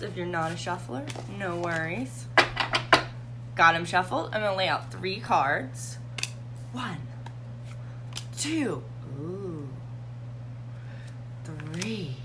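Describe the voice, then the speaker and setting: A slightly hoarse woman hosting a live tarot reading and chatting casually with her viewers. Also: fairly low pitch, noisy background. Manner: chatty, warm, greets viewers by name.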